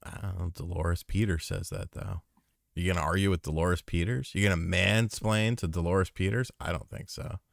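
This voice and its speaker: A man's voice: low voice, steady tone, normal speed, creaky, dry recording, studio quality. A man co-hosting a podcast aftershow, joking and swearing in rambling casual chat. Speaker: podcast co-host.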